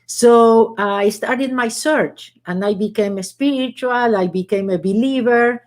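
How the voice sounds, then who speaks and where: A woman from Argentina speaks English with a Spanish accent, her voice sitting a little high. She tells her stories in a loose, chatty way, full of fillers and easy laughs. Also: measured pace. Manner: warm storytelling with frequent laughs and fillers. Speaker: guest author. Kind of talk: casual interview chat.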